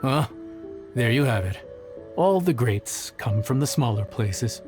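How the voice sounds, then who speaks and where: A man's voice: mid-range voice, lively intonation, unhurried, music in the background, lightly acted. A man voicing a genial, gracious inn cook in a video game, with a hearty, folksy manner. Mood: friendly, impressed. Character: genial, gracious male inn cook praising his rival.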